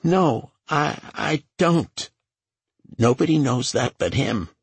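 A man's voice: medium pitch, lively intonation, slow pace, vocal fry, husky, no echo, voice pushed up, full character voice. A man voicing a frightened, defensive woman, a personal assistant, pleading and hesitant, in an audiobook. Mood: nervous, defensive.

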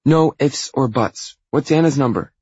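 A man's voice: mid-range voice, a little slow, a little mellow, slightly rough, no room sound, dull audio, pitched above the performer's own voice. A man voicing an exuberant, bossy young woman, a demanding little sister in an audiobook story. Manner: demanding, emphatic, dismissive.

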